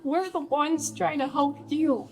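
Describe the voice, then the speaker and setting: A woman's voice: sits high, unhurried, faint music behind. An actress playing a hurt, furious bride confronting her famous sister in a naturalistic radio play, scolding and pleading with emphatic profanity.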